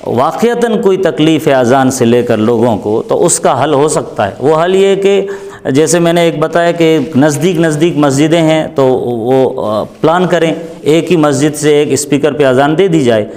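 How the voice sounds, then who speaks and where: Higher-pitched man explaining in Hindi. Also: darkish tone. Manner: deliberate, repeats phrases for emphasis. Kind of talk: explanatory interview answers.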